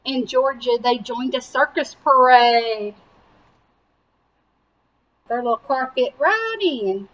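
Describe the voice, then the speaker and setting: A woman reading a picture book aloud to young children with animated emphasis and simple explanatory asides. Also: high-pitched, slow, long pauses, highs cut off. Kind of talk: slow, expressive children's read-aloud.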